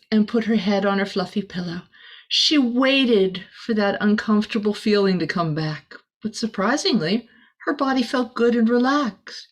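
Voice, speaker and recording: A woman reading a children's picture book aloud expressively, adding small chatty asides for a young listener. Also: mid-range voice. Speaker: storybook reader.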